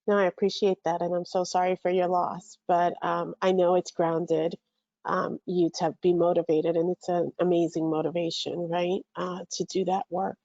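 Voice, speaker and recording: A woman in her forties moderating a youth panel in a gentle, measured, supportive way, on a video call. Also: on the low side, flat delivery, no echo. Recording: muffled lo-fi online audio.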